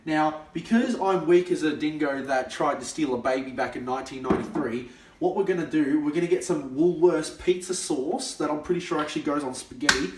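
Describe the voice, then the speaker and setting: A brash young Australian man hosts a mock cooking show with a slightly high voice. He plays the professional and flares up at his helper. The echoey room and the mic some way off keep him distant. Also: a little fast, background noise. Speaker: comic cooking show host.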